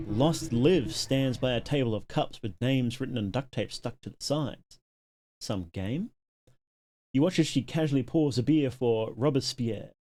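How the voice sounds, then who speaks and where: A man with a mid-pitched voice hosts a live game stream. He reads on-screen story text aloud and slips into casual commentary, with faint music playing behind him. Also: a little mellow.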